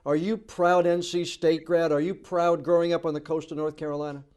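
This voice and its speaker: A man hosting a podcast, asking interview questions and reading a closing outro at a measured pace, with occasional stammered restarts. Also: on the high side, level tone, darkish tone, smooth. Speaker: podcast host.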